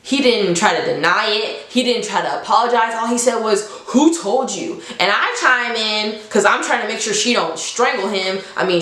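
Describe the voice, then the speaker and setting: A woman's voice: fairly high pitch, fairly bright tone. Young woman storyteller in an echoey room. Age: young adult. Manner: conversational, slangy, dramatically re-enacts dialogue. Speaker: storytime vlogger. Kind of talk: casual animated storytelling to viewers.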